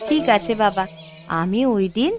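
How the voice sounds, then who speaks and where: A woman voicing a poor, humble younger daughter in a cartoon, meek, soft and respectful toward her father. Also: mid-range voice, lively intonation, moderate pace, faint music behind, natural voice. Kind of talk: Bengali cartoon voice acting.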